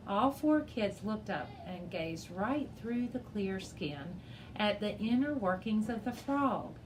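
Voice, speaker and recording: A woman reading a children's chapter book aloud as a teacher, in an expressive storybook style. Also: medium pitch, takes it slowly, background noise.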